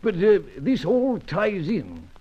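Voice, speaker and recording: A man's voice: sits high, huge pitch swings, slow pace, warm tone, heavily acted. An actor playing a genial upper-class British spymaster in a vintage radio drama, hemming politely like an old boy. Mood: thoughtful. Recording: muffled old radio recording.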